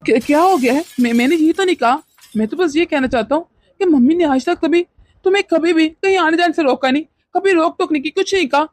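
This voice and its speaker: एक औरत घरेलू मेलोड्रामा कहानी में माँ के भक्त, नरम और उलझन में पड़े जवान पति का किरदार निभा रही है, जो घबराकर शब्द दोहराता है।. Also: female voice, high-pitched, fast, no room sound, natural voice. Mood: bewildered, defensive. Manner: flustered, repeats words in questions. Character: young husband, devoted son, bewildered and mild. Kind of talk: melodramatic domestic story acting.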